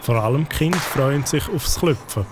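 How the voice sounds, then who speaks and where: A man with a Swiss German accent giving calm, scripted narration for a children's audio story. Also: mid-range voice, moderate pace, background noise.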